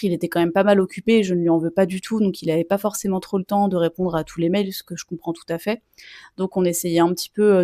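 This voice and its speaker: A woman's voice: fairly low pitch, dark tone, dry recording. Chatty young woman, dry close sound. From Sicilian heritage. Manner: chatty, frequent fillers and asides. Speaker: vlogger and mezzo-soprano opera student. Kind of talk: casual storytelling to camera.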